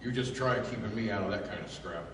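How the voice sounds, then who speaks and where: A sturdy, loyal adventurer with a fairly low voice, played by a man in vintage radio drama style. He is plain-spoken and earnest, heard in a heavily echoing hall with noticeable noise. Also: fast, fairly bright tone, lightly acted. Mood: eager, alert. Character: sturdy, loyal big-fisted engineer in radio serial. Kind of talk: 1930s radio drama acting. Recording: echoey hall from far off, clear noise.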